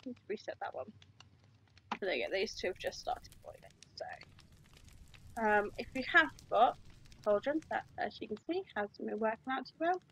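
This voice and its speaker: A woman's voice: fairly high pitch, animated, normal speed, a bit bright, breathy edge, rough recording. A woman talking through a game tutorial step by step, casual and chatty, recorded at her computer. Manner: chatty, explains step by step with fillers.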